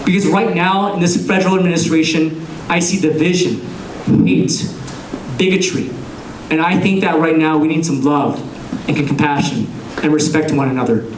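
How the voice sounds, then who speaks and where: Young male candidate, earnest and measured, slightly higher voice, recorded from a distance. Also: slight background noise. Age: young adult. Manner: earnest, measured, pausing between phrases. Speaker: political candidate. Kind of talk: campaign speech. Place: large room with an audience. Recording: echoey room, captured from a distance.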